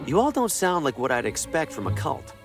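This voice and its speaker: An ordinary, slightly awkward adventure game hero. He sounds wary and wry, hesitating often, with animated pitch movement. Music runs softly underneath. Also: brisk. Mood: nervous. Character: wary, awkward everyman salesman hero, dryly skeptical. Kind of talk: video game voice acting.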